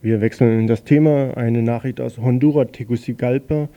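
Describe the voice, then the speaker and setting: A man reads a radio news bulletin with a rough, gravelly voice. His tone is very dark and mellow. He delivers it plainly, stumbling and correcting himself now and then. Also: mid-range voice, steady tone, highs cut off, faint music behind. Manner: plain, slightly halting reading with self-corrections. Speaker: news reader on a local radio station.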